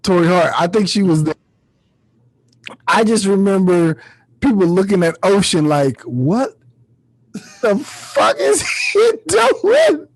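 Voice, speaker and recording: A man's voice: high voice, sing-song, takes it slowly, long pauses, no room sound, poor audio. A man, a podcast host, in casual, joking conversation. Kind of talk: casual comedic chat.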